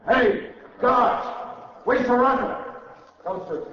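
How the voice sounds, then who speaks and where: An actor playing a desperate, surrendering convict in a 1940s radio drama, yelling in a strained voice in an echoing hall. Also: male voice, extremely high pitch, slow. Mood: frantic. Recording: muffled old radio, very echoey hall.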